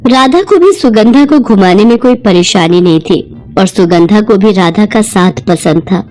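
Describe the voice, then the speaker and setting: A woman's voice: mid-range voice, lively intonation, moderate pace, a little mellow, faint music behind. A woman narrating a scripted moral story as a storyteller.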